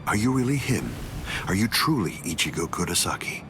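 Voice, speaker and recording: A man playing a grandiose, coldly arrogant godlike villain in an anime game dub, speaking formally in a measured, pompous way. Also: low voice, very animated, background noise. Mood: cold disappointment. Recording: loud music behind.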